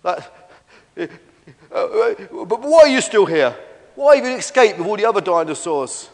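A man's voice: big pitch swings, normal speed. A man voicing a massive, kindly storybook diplodocus who speaks in a helpful, reassuring way. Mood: kindly, explaining. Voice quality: deep. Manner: reassuring, explanatory. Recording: long echoey hall reverb.

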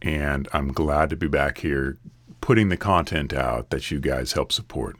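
A man hosting a solo podcast, his voice a bit raspy from a recent illness, talking in an earnest, steady, advice-giving way. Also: a bass voice, measured pace, dry recording. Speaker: podcast host. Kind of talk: casual solo podcast monologue.

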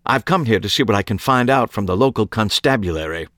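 A man's voice: on the low side, animated, fast talker, a bit bright, dry recording, clean studio sound. A man voicing a flamboyant, eccentric male writer in an audiobook, drawing out his words theatrically.

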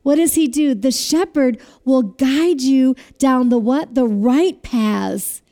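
A woman preaches a sermon in a high, mellow voice. She has a loud voice and keeps asking her listeners to repeat lines back to her. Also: slow pace. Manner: asks the audience to repeat phrases.

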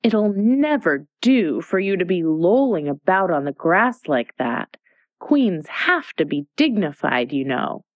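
A woman's voice: lowish voice, big pitch swings, no echo, muffled recording. Woman doing a polite, earnest young girl. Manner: earnest, quick when arguing.

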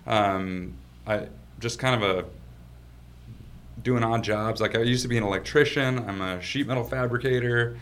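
A man's voice: mid-range voice, normal speed, a bit bright. A man from Washington state, a company founder being interviewed in his office, telling his story in a laid-back way and laughing easily. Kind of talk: casual storytelling.

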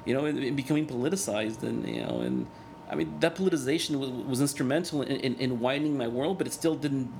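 Earnest, halting man in his thirties. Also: medium pitch, sing-song, gravelly, darkish tone, slight background noise. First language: Spanish. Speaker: guest, cartoonist and organizer. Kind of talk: candid personal interview.

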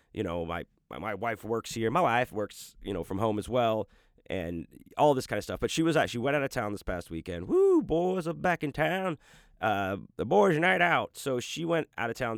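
A man's voice: fairly low pitch, dry recording, studio quality. A man hosting a podcast in loose, rambling casual chat, profane and full of restarts, talking about his audiobook narration work.

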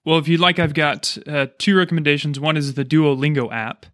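Chatty man with a higher-pitched voice. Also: fairly even intonation, brisk, a bit bright, no room sound. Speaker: podcast host, chiropractor. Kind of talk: casual interview.

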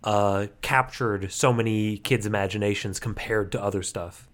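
A man's voice: lowish voice, fairly even intonation, normal speed. A man co-hosting a podcast, chatting casually and slipping into playful, mock-serious jokes. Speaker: podcast co-host. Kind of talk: casual comedic chat.

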